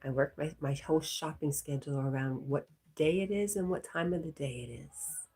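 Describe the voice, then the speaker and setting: Brief: congested woman livestream host, low mellow voice, loose and chatty. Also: moderate pace, breathy edge. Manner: chatty, talks to her dog and reads chat aloud. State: congested, stuffy nose. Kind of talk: casual livestream chat.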